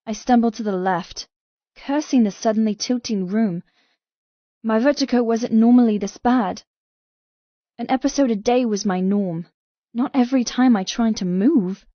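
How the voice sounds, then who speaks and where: A woman's voice: a little high, measured pace, pauses often, no room sound, lo-fi sound, lightly acted. A woman performing an anguished but defiant young woman in emotive, dramatic first-person audiobook narration. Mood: agitated, defiant.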